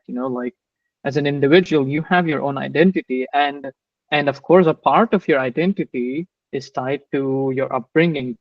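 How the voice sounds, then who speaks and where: A man from southern India with an Indian accent gives thoughtful interview answers as a guest, haltingly and with repeated words. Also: medium pitch, no room sound, dull audio. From Bangalore, southern India. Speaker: interview guest, engineering manager.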